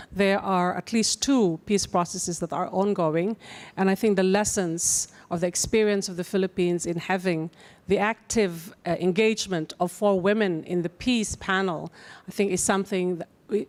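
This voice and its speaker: A woman moderating a conference panel, adding her own remarks before handing over to the next speaker. She speaks deliberately, with a few small restarts, at an average pitch. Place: conference hall.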